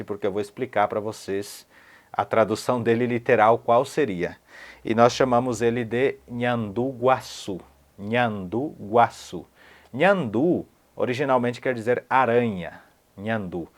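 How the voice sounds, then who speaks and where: A man from Paraguay giving a casual online lesson as a language teacher, slowly repeating each word to show its pronunciation. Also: medium pitch.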